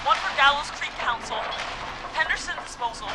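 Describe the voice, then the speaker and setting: A woman's voice: high-pitched, fairly quick, close to an everyday voice. An actress playing a frightened, desperate woman in a video game, urgent and pleading. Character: frightened, desperate woman working through a noisy plant. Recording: loud machinery noise, distant caller.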